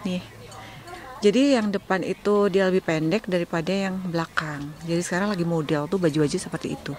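A woman's voice: on the low side, steady tone, brisk, a little mellow, a little hiss or hum. A woman in her thirties with an Indonesian accent, chatting casually as a vlogger, slangy and exclaiming, mixing in English words. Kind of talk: casual shopping vlog chat. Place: indoor clothing shops in a busy mall.

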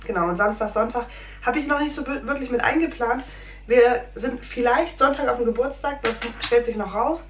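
Female, 30s, casual home vlogger, slightly unwell, rambling and filler-heavy; roomy kitchen sound, soft background hum. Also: on the high side. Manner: chatty, rambling, many fillers. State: a little under the weather. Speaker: food vlogger. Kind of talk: casual chat. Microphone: fixed camera at desk distance.

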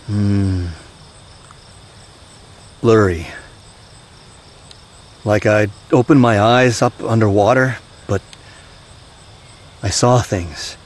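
An actor playing a weary, haunted middle-aged soldier and gentle father in an audio drama, halting and confiding. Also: fairly low pitch. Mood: haunted, hesitant. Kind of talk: naturalistic audio drama acting. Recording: steady outdoor night-insect noise.

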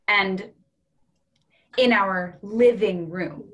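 A young woman playing a matter-of-fact, gently stubborn partner in an absurdist play reading over a video call. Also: medium pitch, drawn-out words, muffled recording. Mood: calm, matter-of-fact.

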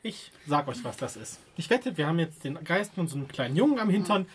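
A woman's voice: low-pitched, sing-song, fast, slightly rough. A woman with a German accent bantering sarcastically in character during a casual tabletop role-playing game.

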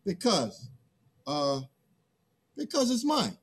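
A middle-aged man playing a cocky, whiny, childish new human in a play reading, with a husky voice. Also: high voice, wildly swooping pitch, slow, heavily acted. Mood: petulant.

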